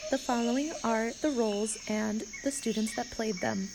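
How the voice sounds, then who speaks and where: A woman reading out a scripted list as an announcer, measured, pausing between items. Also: fairly high pitch. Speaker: announcer reading cast credits. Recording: clearly noisy, insect-filled ambient background.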